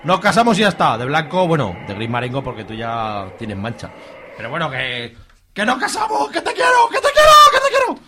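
An actor in a radio serial playing a brash, excitable young hippie boyfriend. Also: male voice, on the high side, a little fast, very noisy. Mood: carefree, exuberant. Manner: brash, exclamatory. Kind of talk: audio drama acting.

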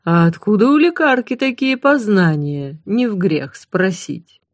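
A woman's voice: mid-range voice, lively intonation, a little slow, no room sound, studio quality. A woman reading an audiobook voices a huge motherly female cook with a drawling, sing-song folksy manner. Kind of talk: audiobook character voice.

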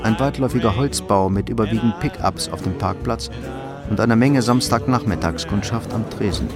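A man narrating a radio crime drama in a measured, matter-of-fact way. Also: on the low side, music in the background, close to an everyday voice. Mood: dry. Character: male narrator of a crime story.

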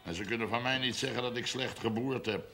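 A folksy, self-made farmer in a television period drama. His voice sits at a middling pitch and he speaks with droll, deliberate emphasis, like a village storyteller. Soft music runs behind the dialogue. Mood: sceptical, proud.

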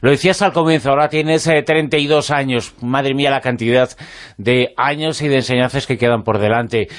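A man hosting a conversational radio interview, speaking effusively in short phrases broken by small pauses. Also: medium pitch, fairly even intonation. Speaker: radio show host.

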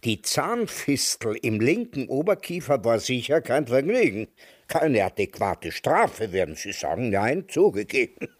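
An actor playing a melancholy, sardonic old intellectual in a radio drama, speaking in a deliberate, ironic and literate way. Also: male voice, medium pitch, big pitch swings, no echo. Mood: mocking, ironic. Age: older.

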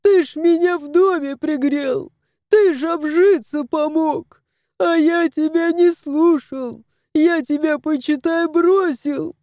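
A woman's voice: high-pitched, expressive, slow, warm tone, no echo, studio quality, pitched above the performer's own voice. A woman voicing a tearful little bearded male house spirit who wails and pleads. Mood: sobbing. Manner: wailing, pleading.

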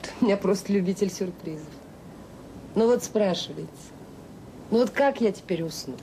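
An actress playing a devoted, gently bossy middle-aged mother in a naturalistic radio drama. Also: medium pitch, moderate pace, a little hiss or hum, lightly acted, low-quality recording. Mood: tired, resigned.

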